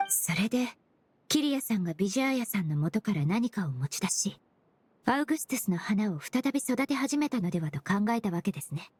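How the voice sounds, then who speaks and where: A polite young woman of calm, analytical temper, played by an actress in an anime game. Her mid-pitched voice is formal and measured, with a faint noise underneath. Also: natural voice. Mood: probing. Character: composed, courteous young woman forensic cleaner and perfumer. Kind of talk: Japanese anime game dubbing.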